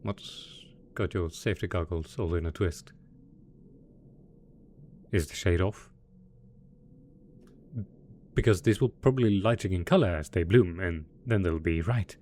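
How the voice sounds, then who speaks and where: Man acting a theatrical, low-voiced mad scientist boss. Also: darkish tone. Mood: doting glee. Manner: theatrical, playful, mock-innocent, chuckling. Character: gleeful mad scientist supervillain boss, male, smug, possessively doting. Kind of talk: scripted roleplay audio drama.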